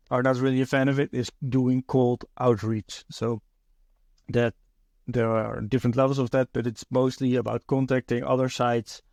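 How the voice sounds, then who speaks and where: A man's voice: medium pitch, darkish tone, dry recording. Dutch-accented man hosting a solo podcast. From Netherlands. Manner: thoughtful, hesitant, many fillers and restarts. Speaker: podcast host.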